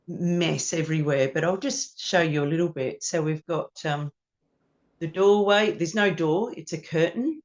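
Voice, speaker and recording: Chatty woman. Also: low-pitched. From New Zealand. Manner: chatty, friendly, loose with many ums. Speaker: ukulele teacher and performer, guest. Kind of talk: casual interview chat. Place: her home music studio. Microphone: video call.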